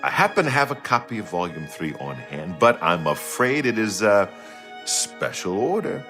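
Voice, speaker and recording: A courteous fantasy bookseller with a salesman's polish. His voice sits fairly low, over faint background music. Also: sing-song, moderate pace, bright, forceful tone, heavily acted. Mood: apologetic. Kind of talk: video game dialogue acting.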